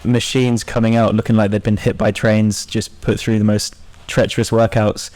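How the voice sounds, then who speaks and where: Guy in his 20s, co-hosting, lowish voice, relaxed casual podcast chat. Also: normal speed. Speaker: podcast co-host.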